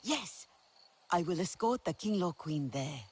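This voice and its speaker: A woman playing an earnest, headstrong young alien warrior in a video game, with a husky voice. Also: lowish voice, animated, unhurried. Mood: earnest resolve. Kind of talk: dramatic video game acting.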